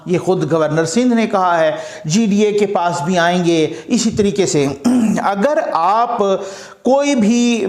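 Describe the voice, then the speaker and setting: High-pitched Pakistani male political commentator, echoey room. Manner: emphatic, conversational, repeats phrases for emphasis. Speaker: journalist and political vlogger. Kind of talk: political commentary straight to audience. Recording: echoey, roomy sound.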